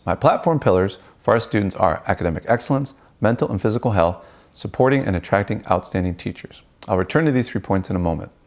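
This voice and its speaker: Fast-talking man, low and creaky. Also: darkish tone. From Peru. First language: Spanish. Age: middle-aged. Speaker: school board candidate. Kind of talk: prepared campaign speech.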